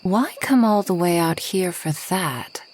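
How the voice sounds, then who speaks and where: A woman playing a reclusive, ageless forest witch in a soft ASMR roleplay, gentle and wry, often slipping toward a whisper. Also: lowish voice, lively intonation, unhurried. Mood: soft, wistful. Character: reclusive, centuries-old kind but proud forest witch.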